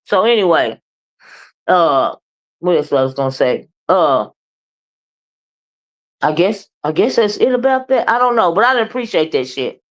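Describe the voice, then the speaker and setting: Woman, loud and boisterous, blunt and profane, moody livestream ranter. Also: on the low side, lively intonation, normal speed, long pauses. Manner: blunt, profane, keeps asking "okay?" and "right?"; moody, confrontational. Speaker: live streamer and commentator. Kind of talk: ranting live commentary.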